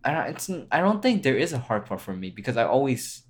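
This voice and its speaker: A man's voice: mid-range voice, big pitch swings, fast talker. A young man chatting as a podcast guest, laid-back and teasing, laughing at his own jokes. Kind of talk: casual chat.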